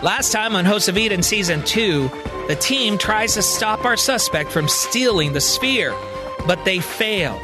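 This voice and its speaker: Male narrator for a serial sci-fi audio drama, high register, music underneath. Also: lively intonation, a little slow. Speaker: storyteller, narrator.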